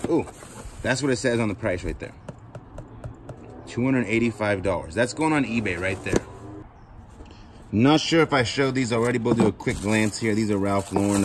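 Chatty man unboxing shoes outdoors. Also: mid-range voice. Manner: chatty, talks to viewers while rummaging. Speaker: storage auction vlogger. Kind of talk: casual unboxing commentary. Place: outdoors by a cardboard box. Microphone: handheld camera, first-person view. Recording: loud outdoor background noise.

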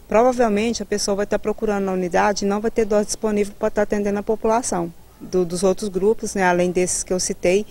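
Mellow, halting Brazilian woman explaining. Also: medium pitch. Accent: Brazilian Portuguese. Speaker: public health immunization director. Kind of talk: interview answers, explanatory. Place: outdoors in a building's garden. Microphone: handheld reporter microphone held toward her.

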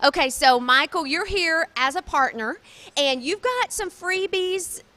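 A woman's voice: very high voice, lively intonation, sharp tone. A woman field reporter, interviewing guests on a handheld mic in a large indoor mall space, bubbly and upbeat. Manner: bubbly, quick questions to guests.

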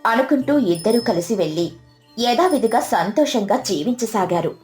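A woman's voice: a little high, expressive, moderate pace, a little mellow. Echoey woman narrating a moral story. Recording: roomy echo, music under the voice.

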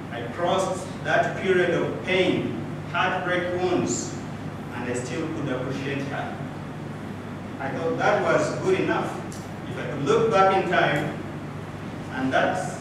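Swahili-speaking man, echoey distant room. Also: fairly high pitch. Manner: storytelling with dramatic pauses and rhetorical questions. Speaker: club speaker. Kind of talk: prepared public speech. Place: small indoor meeting room with audience. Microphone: far camera, no mic. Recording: echoey room from afar, with background noise.

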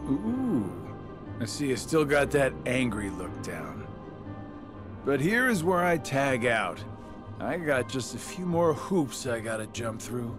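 A man's voice: mid-range voice, sing-song, slow pace, over music. A male actor playing a sly, flippant video game villain who taunts in a mocking, slangy drawl. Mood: taunting.

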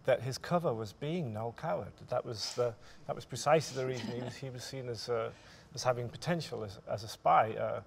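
A man in his forties, a writer speaking thoughtfully and in halting, measured phrases on a discussion panel. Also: medium pitch, vocal fry. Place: theatre stage, indoors.

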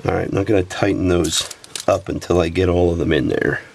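Low gravelly man, sniffly repair talk. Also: fairly quick, a little hiss or hum. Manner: relaxed, talks while working with hands. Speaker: repair technician. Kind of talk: step-by-step repair tutorial. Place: workbench, indoors.

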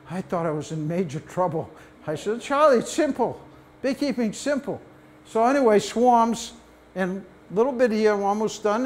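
An older man giving a beekeeping talk to a library audience in a meeting room, telling animated stories and acting out quotes as he demonstrates hive gear. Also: high-pitched. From United States. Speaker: beekeeping presenter. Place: library meeting room, mid-sized, indoors.

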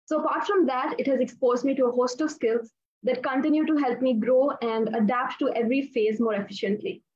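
Young female panelist, high pitch, measured and articulate, dry lo-fi call sound. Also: steady tone, a little mellow, slight background noise. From India. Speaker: youth panelist. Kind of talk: prepared panel answers. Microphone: video call. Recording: dry, slightly dull call audio.

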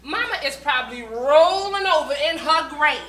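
A woman acting a high, sassy, judgmental church first lady. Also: expressive, normal speed. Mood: scolding. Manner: scolding, combative, sharp-tongued. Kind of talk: amateur gospel stage play. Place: church sanctuary stage before a live audience. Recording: echoey church hall.

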